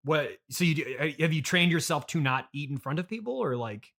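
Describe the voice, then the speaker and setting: An American man hosting a casual improvised comedy interview as a halting, politely baffled straight man. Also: on the high side, lively intonation, creaky, fairly bright tone. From United States, Illinois. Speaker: podcast host and interviewer.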